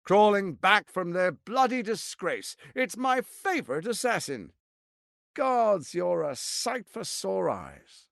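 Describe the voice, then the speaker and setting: A man playing a smooth-tongued, condescending tyrant lord in a video game, with a pompous, theatrical delivery and deliberate emphasis. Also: high voice, very animated, a bit bright, dry recording, studio quality, heavily acted. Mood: sardonic, pleased.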